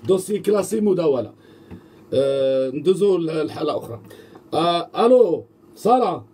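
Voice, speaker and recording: A Moroccan man in his forties murmurs brisk asides in a small studio. He sits away from the desk mic, so he sounds distant, with a clear layer of noise and clicks behind him. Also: on the high side, animated. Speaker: host.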